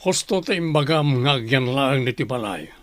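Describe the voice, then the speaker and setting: A man's voice: medium pitch, lively intonation, moderate pace, no room sound, studio quality, lightly acted. A Filipino man playing a blunt, domineering father-in-law in a radio drama. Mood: scolding. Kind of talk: Philippine radio drama acting.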